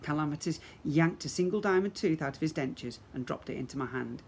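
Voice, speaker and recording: A man's voice: on the high side, lively intonation, brisk. Man reading a children's storybook expressively. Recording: faint background noise.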